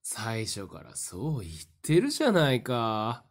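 A woman acting in an audio drama as a calm, smiling, taunting werewolf in human guise, with a theatrical, teasing delivery. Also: rumbling low, measured pace, exaggerated voice. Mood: calm confession.

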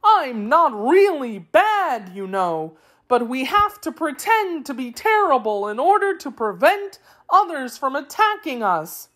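Storybook creature, male, played by a woman: very high, anxious, pleading, excitable, slow delivery. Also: heavily acted. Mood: eager relief. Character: fearful, tearful, eager male wheeled creature. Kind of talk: children's storybook character voice.

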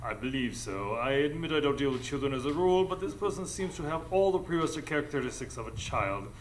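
A man's voice: mid-range voice, expressive, fast talker, natural voice. A man playing a stuffy, dithering lord in a live radio drama. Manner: pompous, blustering, "what, what" tic. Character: befuddled, pompous male English lord, stuffy and dithering. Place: live stage before an audience. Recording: noisy live stage recording.

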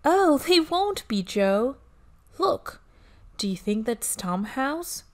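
A woman's voice: sits high, very animated, slow pace, voice pushed up, full character voice. A woman voicing a patient, reassuring mother of a young boy in a children's storybook. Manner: gentle, reassuring. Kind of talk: children's storybook read-aloud.

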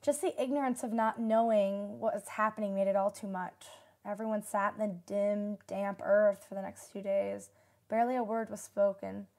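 A woman's voice: medium pitch, fairly even intonation, normal speed, vocal fry, a little mellow, lightly acted. A young woman playing a solemn nineteen-year-old disaster survivor giving testimony in a radio drama. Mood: somber, reflective. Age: young adult.

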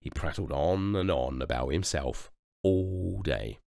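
A man reading a children's story aloud with expressive narration. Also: sits low, a little slow, creaky, dry recording, clean studio sound. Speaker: storybook reader.

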